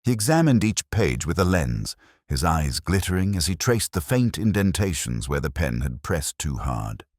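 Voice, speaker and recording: A man reads dramatic detective fiction in an extremely deep voice. His intonation is lively and varied, and the sound is dry, with little room around it. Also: normal speed, no echo. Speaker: audiobook narrator. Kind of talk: scripted dramatic story narration.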